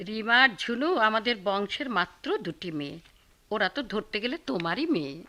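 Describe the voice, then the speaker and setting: A woman's voice: mid-range voice, expressive, brisk, sharp tone. A woman playing a fair-minded, protective middle-aged village wife and mother in a radio drama, reproachful, with a Bangladeshi accent. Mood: defending. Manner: reproachful, defending.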